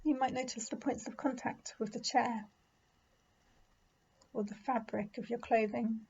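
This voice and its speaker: A woman leading a guided meditation in a gentle, soothing, unhurried manner with pauses. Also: on the high side, fairly even intonation, husky, highs cut off. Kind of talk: guided body scan meditation.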